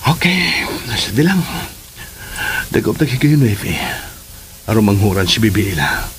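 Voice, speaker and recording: A man acting an affectionate, teasing young husband in a Philippine radio drama, in an expressive manner. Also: lowish voice, a little slow, slight background noise. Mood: playful, amorous.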